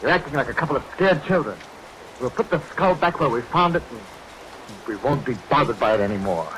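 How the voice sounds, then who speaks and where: A man's voice: medium pitch, expressive, fairly quick, fairly bright tone, close to an everyday voice, rough recording. An actor playing a stubborn, brusque man who dismisses others' fears in a vintage radio play. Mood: impatient, dismissive. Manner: impatient, brusque. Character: stubborn, dismissive man insisting all is normal. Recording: vintage radio recording with rain noise. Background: rain.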